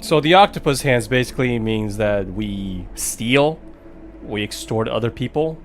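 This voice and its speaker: A man's voice: mid-range voice, expressive, a little slow, soft background music. A man doing casual let's play commentary on a horror game, tossing out wry, teasing replies to it. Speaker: streamer playing a horror game.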